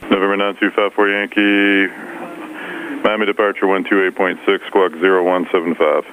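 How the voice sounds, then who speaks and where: Male ground controller, low and monotone, issuing clipped radio instructions with slight static. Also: normal speed, rough recording. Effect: aviation radio. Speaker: air traffic ground controller. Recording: hissy air traffic radio.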